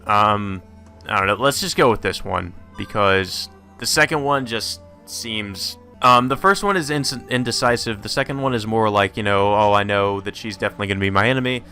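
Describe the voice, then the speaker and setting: A man's voice: lowish voice, normal speed, pushed and bright, soft background music. A man doing a let's play, reading visual novel text aloud and commenting casually. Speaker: let's player.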